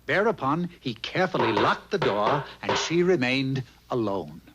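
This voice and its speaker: A man's voice: mid-range voice, very animated, moderate pace. A man reads a comic fairy tale in theatrical storyteller style. His intonation swoops and dips, and the recording is somewhat dull with faint noise. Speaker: narrator. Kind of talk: scripted fairy-tale parody narration.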